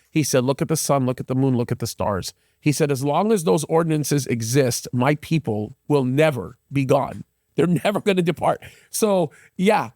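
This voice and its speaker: A man hosting a conversational interview, giving his opinions emphatically, often halting and repeating phrases. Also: fairly high pitch, creaky, darkish tone, dry recording, studio quality. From United States, Egyptian parents. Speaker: interview host.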